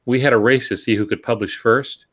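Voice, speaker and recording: A man's voice: mid-range voice, fairly even intonation, fairly quick, fairly bright tone. An older man co-hosting a podcast, reminiscing and giving advice in a casual conversational style. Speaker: podcast co-host and writer.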